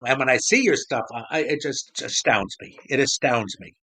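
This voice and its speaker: A man's voice: medium pitch, lively intonation, normal speed, bright, forceful tone. A man talking conversationally as a panel guest, effusive and emphatic in his delivery.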